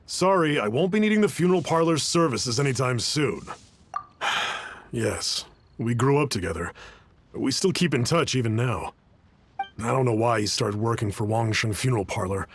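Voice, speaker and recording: A man's voice: mid-range voice, expressive, moderate pace, slight background noise, lightly acted. An actor playing an earnest, polite young man who cooks, a little wistful about an old friend. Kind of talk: video game voice acting.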